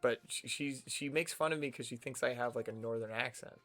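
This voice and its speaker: A man's voice: medium pitch, fairly quick, fairly bright tone, soft background music. A young man with a General American accent, rambling casually to himself while playing a video game. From United States. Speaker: streamer. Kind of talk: casual gameplay commentary.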